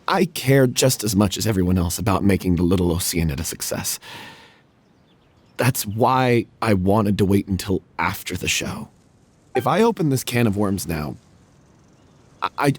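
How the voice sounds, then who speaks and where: A man playing a troubled, regretful young stage performer in a video game, husky, hesitant and earnest. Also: on the low side. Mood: uneasy, guarded.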